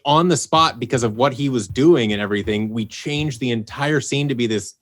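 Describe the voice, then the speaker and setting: A male voice actor guest on a podcast, chatting casually about his production. He tells stories with energy and leans into the anecdotes. His voice sits at an everyday middle pitch for a man. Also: fairly quick. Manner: animated storyteller, acts out quotes.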